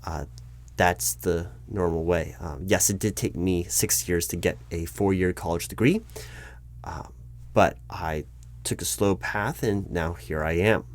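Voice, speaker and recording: A man's voice: deep voice, measured pace. A man playing a polite, awkwardly tactful corporate hiring manager in a one-sided interview roleplay, speaking aloud in a hesitant, stammering, diplomatic way. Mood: slightly sheepish. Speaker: recruiting manager conducting a job interview.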